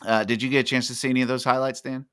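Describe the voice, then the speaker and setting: A man's voice: mid-range voice, level tone, rapid-fire, fairly bright tone, clean tone. A man from Texas co-hosting a casual show, telling stories with an upbeat, easy-laughing manner. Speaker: co-host. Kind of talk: casual chat.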